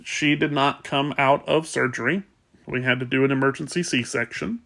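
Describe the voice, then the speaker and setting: A man with a stuffed-up, sniffly voice, talking casually and softly about rescuing kittens, with many pauses and fillers. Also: medium pitch, steady tone, gravelly. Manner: soft, rambling, with fillers. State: congested, sniffling. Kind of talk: casual vlog talk.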